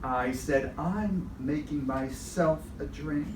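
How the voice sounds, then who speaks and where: A distant, noisy recording of a man playing a flustered, testy suspect in a radio comedy. He is defensive and halting, with a slightly high male voice. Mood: exasperated. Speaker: actor. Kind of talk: rehearsed scripted comic radio play. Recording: distant, noisy, echoey rehearsal audio.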